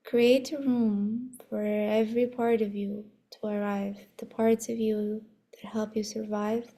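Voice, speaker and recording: A woman leading a guided meditation as an instructor, soothing, unhurried and gently instructive, with a soft voice that turns breathy at times. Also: on the high side.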